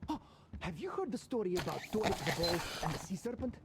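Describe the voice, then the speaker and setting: A man's voice: high-pitched, very animated, quick pace, very noisy. An actor playing a cheeky, wry gunman in a video game, teasing and spinning tales theatrically. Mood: storytelling. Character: cheeky, joking male wasteland gunman, tale-teller. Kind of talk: video game cutscene banter.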